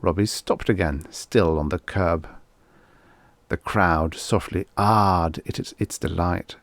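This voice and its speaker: A man reading a short story as an audiobook narrator, in a measured, expressive storytelling manner. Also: sits low, no room sound.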